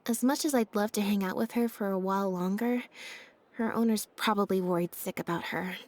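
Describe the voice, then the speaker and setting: A woman voicing a laid-back, deadpan young stage magician's assistant in a video game, speaking in an unhurried, matter-of-fact way. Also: mid-range voice, natural voice. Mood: thoughtful. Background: game background music.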